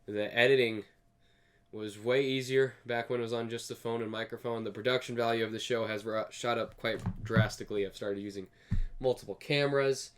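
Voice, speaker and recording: A young man hosting his own show, casually talking with a raspy, slightly hoarse voice from sinus allergies, rambling earnestly and correcting himself. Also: medium pitch, fairly even intonation, brisk. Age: young adult. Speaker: host. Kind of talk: casual solo podcast talk. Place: home recording room. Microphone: desk USB microphone.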